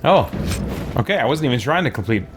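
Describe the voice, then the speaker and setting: A man's voice: medium pitch, sing-song, brisk. A man giving casual, playful video game commentary, musing to himself as he plays. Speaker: gamer hosting a let's play. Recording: clear game noise behind voice. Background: video game ambience.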